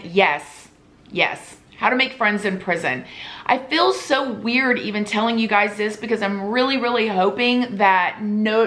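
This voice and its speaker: A young woman with a drawl talks to camera in a casual, chatty way. Her voice is slightly hoarse, as if she is losing it, and she speaks with earnest, pleading sincerity, addressing viewers like close friends. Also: medium pitch, fairly bright tone. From Florida. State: losing her voice, sore throat. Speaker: YouTube vlogger.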